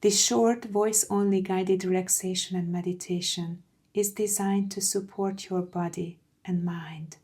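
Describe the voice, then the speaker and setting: Slow, mellow woman guiding a meditation. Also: medium pitch, steady tone. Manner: soothing, hushed, breathy phrase endings. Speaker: meditation guide. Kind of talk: slow guided meditation, scripted.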